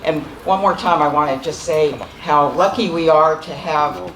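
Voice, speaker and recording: A man giving closing remarks at a festival as an organizer, earnest and halting, with fillers and repeated words. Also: a little high, fairly even intonation, a crowd in the background. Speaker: event organizer. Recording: echoey PA sound.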